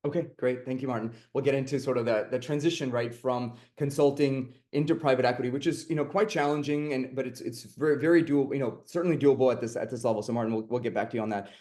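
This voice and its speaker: A man's voice: mid-range voice, fast, few pauses, dark tone. A man moderating an online finance panel, rambling with lots of fillers and restarts.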